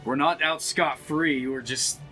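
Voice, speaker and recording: A man's voice: on the high side, steady tone, a little slow, pushed and bright. Man chatting as a tabletop player, music behind. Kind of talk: casual tabletop roleplay chat.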